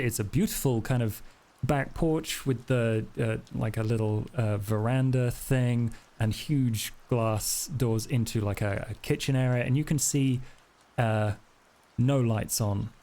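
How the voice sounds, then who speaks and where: A man's voice: mid-range voice, mellow. A man narrating a tabletop role-playing game as storyteller, improvising scenes as he goes.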